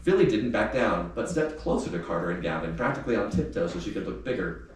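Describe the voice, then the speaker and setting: A man reading youth fiction aloud in an expressive, audiobook-like storytelling style. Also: lowish voice, fast. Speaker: author reading aloud from a novel. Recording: echoey room, distant mic, faint noise.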